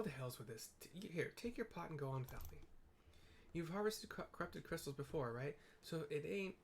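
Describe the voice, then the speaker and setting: A man plays a fussy, self-important scholar from a fantasy game. The voice is pitched a bit above his own, with some creak, and the wording is formal, disdainful and put-upon. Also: a little mellow, a little hiss or hum. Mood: peevish.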